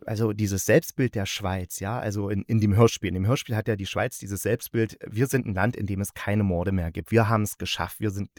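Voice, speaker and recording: A man's voice: lowish voice, a little mellow, dry recording, clean studio sound. A man hosting a podcast, chatting casually through a review, often searching for words and correcting himself, with a playful streak. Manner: playful, jokey. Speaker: podcast host reviewing radio plays.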